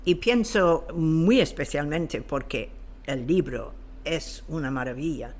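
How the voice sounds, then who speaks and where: A woman speaks slowly in speech, pausing to search for her words. She sounds like a knowledgeable guest explaining local history off the cuff, in a halting, unscripted way. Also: sits low, darkish tone.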